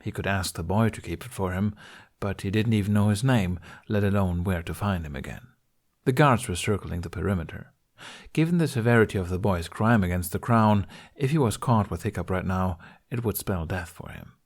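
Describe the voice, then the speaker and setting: A man's voice: low voice, fairly quick. A man narrating an adventure story in a scripted, audiobook style. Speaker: podfic narrator.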